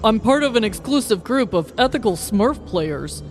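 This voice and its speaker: A man's voice: high voice, animated, moderate pace, music in the background. A man playing an anxious, awkward neighbor in a comedy sketch, earnest and oddly candid. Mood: nervous, earnest.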